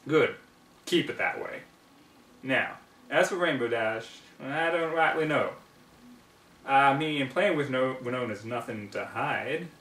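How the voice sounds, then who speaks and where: A man's voice: medium pitch, expressive, a little slow, pauses often, fairly bright tone. A man voicing a blunt, folksy farm mare, a protective big sister, in a storybook-style reading. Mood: stern. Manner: folksy, blunt, drawling rural wording. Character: plainspoken, no-nonsense country mare, a protective older sister. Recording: roomy, distant mic, faint noise.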